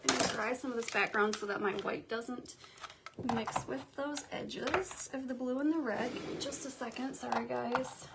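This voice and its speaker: A young woman with a hoarse morning voice, chatting casually and thinking aloud while hosting a craft livestream. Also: a little high, heavy background noise.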